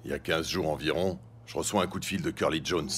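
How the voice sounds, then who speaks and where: An actor playing a nervous, crooked scrapyard owner in his forties in a crime audio drama, talking in a halting, defensive, slangy way. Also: very deep voice, a bit bright, slight background noise. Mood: uneasy, confessing.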